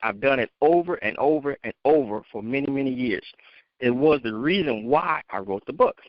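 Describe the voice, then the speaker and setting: A man with a medium-pitched voice speaks as a motivational coach, off the cuff and straight at the listener with firm emphasis. The sound is dry and slightly muffled, from a conference call. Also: lively intonation, moderate pace, dry recording. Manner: emphatic, direct address to listeners. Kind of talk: unscripted motivational talk. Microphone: phone conference line.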